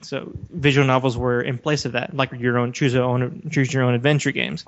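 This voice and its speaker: A man's voice: mid-range voice, flat delivery, a little fast. Dull lo-fi recording of a man discussing. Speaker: podcast co-host. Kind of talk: casual discussion of video games.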